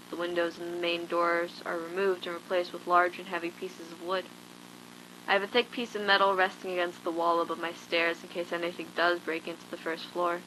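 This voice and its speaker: Woman acting as a blunt, bright-voiced apocalypse survivor. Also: fairly low pitch, slight background noise, rough recording. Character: hardened, blunt female zombie-apocalypse survivor recording a log. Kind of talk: scripted monologue as a survival log.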